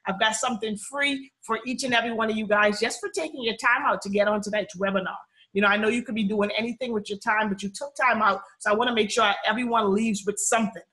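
A woman's voice: fairly high pitch, fairly even intonation, fast talker, pushed and bright. A woman in her thirties from the Bahamas with a Bahamian accent, hosting a live webinar on a video call from a small room at home, chatty and upbeat, often checking in with "okay?". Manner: chatty, upbeat, frequent "you know" and "okay?" check-ins. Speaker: personal finance webinar host.

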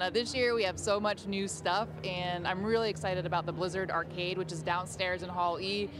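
Lady chatting in an interview on a loud convention floor, easygoing, lots of ums. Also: mid-range voice. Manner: relaxed, hesitant with fillers. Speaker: executive producer guest. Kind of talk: casual interview. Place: large convention hall floor. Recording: noisy hall background.

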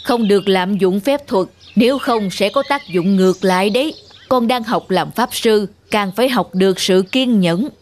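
A woman voicing a patient, stern bear wizard teacher in a cartoon, instructive and measured. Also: mid-range voice, slight background noise, close to an everyday voice. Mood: admonishing. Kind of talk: cartoon fable voice acting.

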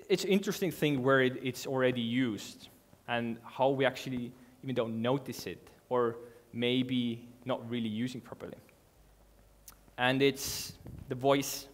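Man giving an earnest talk on stage. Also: mid-range voice. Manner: earnest, halting, with restarts and non-native grammar. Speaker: conference speaker. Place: large dark hall with a seated audience.

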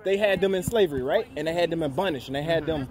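A man's voice: a little high, racing, darkish tone. A man interviewing at an outdoor street protest, lecturing emphatically about scripture with rhetorical questions. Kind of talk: street debate, scripture preaching. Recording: noisy protest crowd behind.

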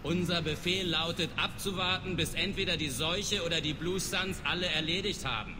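An actor playing a dismissive alien mercenary guard in a video game, curt and contemptuous of humans. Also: male voice, on the high side, moderate pace, close to an everyday voice. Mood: matter-of-fact. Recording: reverberant game scene with clear background noise.

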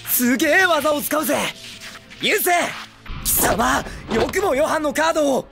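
Anime boy hero: excitable, brash teen, very lively melodic swings, eager exclamations, faint music behind. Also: extremely high pitch, unhurried, vocal fry, heavily acted. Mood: fired up. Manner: cocky, eager, exclamatory, boyish speech. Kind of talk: Japanese anime film acting.

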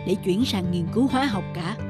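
A man's voice: sits high, music in the background, close to an everyday voice. Man playing a stern old professor. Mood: stern, dismissive. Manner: measured, admonishing. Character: stern old male chemistry professor, reserved but fair. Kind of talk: animated cartoon dubbing.

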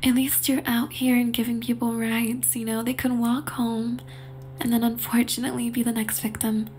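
A woman voicing an obsessive, unstable young woman in an ASMR roleplay, whispering softly and eerily with sudden sharp demands. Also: a little high, little pitch movement, moderate pace, a little hiss or hum, lightly acted. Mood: teasing whisper.

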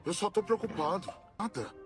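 A man voicing a big, clumsy, good-hearted brute in an animated film dub, blustery and goofy, with a Brazilian accent. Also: sits high, moderate pace, lightly acted. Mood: eager, nervous. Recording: film music behind.

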